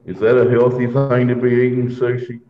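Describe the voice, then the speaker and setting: A man's voice: mid-range voice, completely flat delivery, fairly quick. A man chatting casually in an online group hangout, making jokes and wordplay. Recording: dull lo-fi call audio.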